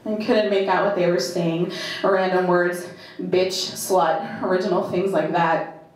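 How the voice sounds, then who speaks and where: A woman performing a first-person dramatic monologue at a live reading, in short, deliberate, halting phrases with emphatic repetition. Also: fairly low pitch, steady tone. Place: indoor live performance in a club. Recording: very echoey live venue.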